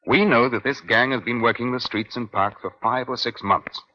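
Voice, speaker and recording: Over a dull old radio recording comes a man acting as a police inspector of middle age. He speaks in a medium-pitched voice with formal, measured authority, dry and probing, in the style of a fifties crime serial. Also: pushed and bright, natural voice. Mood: firm, reasoning.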